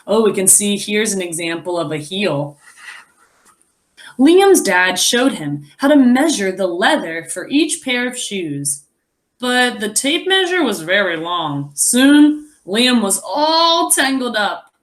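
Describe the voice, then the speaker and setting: A woman reading a picture book aloud as a teacher, expressively, pausing to explain and think aloud for young children. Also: medium pitch, big pitch swings.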